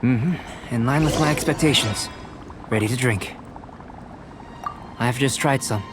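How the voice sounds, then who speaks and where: A man voicing a composed young scholarly alchemist in a video game, measured, precise, detached and courteous. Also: medium pitch, noisy background, natural voice. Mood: calm. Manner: measured, analytical, calm, precise.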